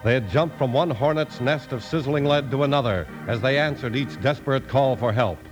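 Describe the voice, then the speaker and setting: A man's voice: mid-range voice, a little fast, rough recording. An American man announcing and narrating in an emphatic, theatrical 1950s radio style. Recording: old radio broadcast. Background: music.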